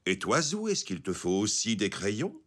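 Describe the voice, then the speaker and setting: A man's voice: lowish voice, big pitch swings, normal speed, fairly bright tone, husky, full character voice. A man voicing a courteous, obliging zebra shopkeeper in a children's cartoon, slightly vain.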